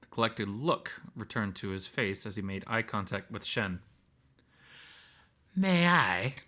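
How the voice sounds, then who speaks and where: A man's voice: on the low side, fairly even intonation, moderate pace, bright, forceful tone. A man reading scripted first-person story narration as the narrator.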